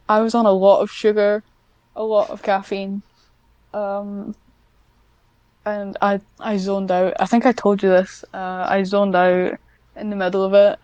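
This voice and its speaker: A woman speaks in a medium pitch with very little room sound. She chats casually as a podcast interviewer, with an informal, slightly hesitant delivery. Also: darkish tone, smooth, no room sound, highs cut off. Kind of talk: casual chat.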